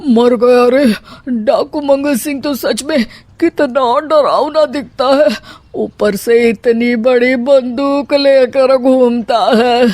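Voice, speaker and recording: Man playing a flustered, slow-drawling cowardly father-in-law. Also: very high-pitched, fairly even intonation, darkish tone, no echo. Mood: frightened. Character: cowardly, scheming middle-aged father-in-law, comically flustered.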